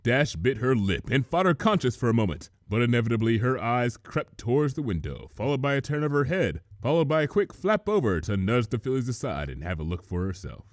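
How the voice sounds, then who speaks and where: Casting: male story narrator, mid-pitched, expressive range, recorded close and dry. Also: fairly quick, hardly any pauses, no echo.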